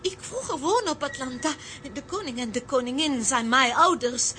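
Timid high-voiced little sea girl. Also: expressive, normal speed, pushed and bright. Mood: frightened. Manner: broken childlike grammar, pleading. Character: timid young sea girl, royal child of an underwater city. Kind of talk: children's audio drama acting.

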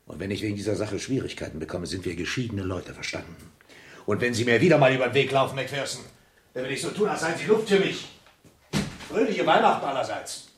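An actor playing a gruff, curt London police inspector who needles dryly, in a seventies radio crime drama. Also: male voice, mid-range voice, animated, quick pace, natural voice. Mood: irritated. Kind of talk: 1970s German radio crime drama acting. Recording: roomy studio sound, light background noise.

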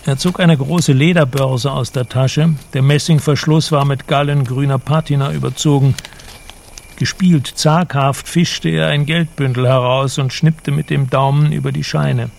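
A man narrating a literary radio drama in a measured, descriptive manner. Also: medium pitch, fairly even intonation, gravelly, a little mellow, a little hiss or hum.

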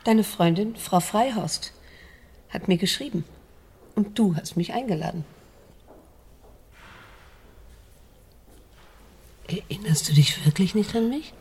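An actress playing a wary, wounded daughter of about sixty in a radio drama, speaking in a measured, restrained way. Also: female voice, darkish tone. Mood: cautious. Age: 60s. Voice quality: husky, low, creaky. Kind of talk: German radio drama dialogue and first-person narration.

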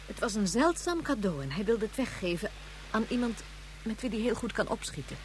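A woman's voice: mid-range voice, very animated, brisk, slight background noise, close to an everyday voice. A woman acting a firm, earnest, protective mother figure in a radio play.

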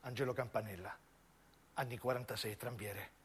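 A man's voice: mid-range voice, fairly even intonation, moderate pace, heavy background noise. A man narrating a dramatic theatrical monologue in intense, solemn, broken phrases. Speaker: narrating stage actor.

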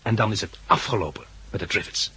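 A man's voice: on the low side, animated, quick pace. An actor playing a resolute, reassuring male survivor and narrator in a 1960s Dutch radio drama. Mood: earnest, hopeful. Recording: noisy 1969 radio play, vehicle sounds.

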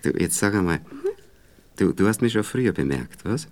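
Deep-voiced, coaxing Viennese gentleman seducer. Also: lively intonation, moderate pace. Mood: flirtatious, curious. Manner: hesitant, coaxing, peppered with little questioning tags. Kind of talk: intimate radio play dialogue.

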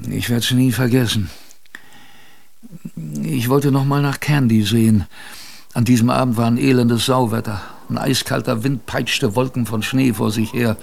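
A man playing an older adventurer who recalls his youth in a creaky voice, with wistful, conversational radio drama narration. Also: medium pitch, fairly even intonation, moderate pace. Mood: reflective. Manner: conversational, wistful, reflective storytelling.

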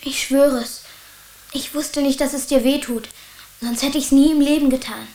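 A woman's voice: high voice, fairly even intonation, moderate pace, mellow, roomy sound, slight background noise, close to an everyday voice, rough recording. A woman playing an earnest, curious and stubborn young boy with a childlike, questioning way of talking in a radio play. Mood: contrite.

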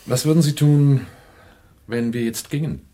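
A man's voice: medium pitch, a little slow, warm tone, lightly acted. An actor playing a wary, coolly persuasive conspirator in a German radio play, formal and pointed in his speech. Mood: probing.

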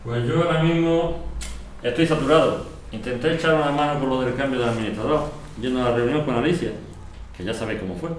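An amateur actor plays a worn-out, overwhelmed residents' president in a radio soap. He is a mid-pitched man with a Spanish accent, heard in an echoey room with faint noise. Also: quick pace, close to an everyday voice. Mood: weary, defeated. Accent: Spanish, from Spain. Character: weary, overwhelmed male residents' association president.

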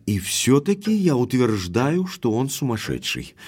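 An actor playing a hot-headed, lovestruck young baronet who speaks bluntly and emphatically in an audio drama. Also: male voice, mid-range voice, big pitch swings, unhurried, a little mellow, slightly rough. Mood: earnest.

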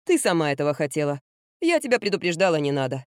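A woman's voice: fast talker, smooth, no room sound, studio quality. A woman doing a cold, stubborn young rocker. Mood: gloomy. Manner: flat, curt, lowered voice.

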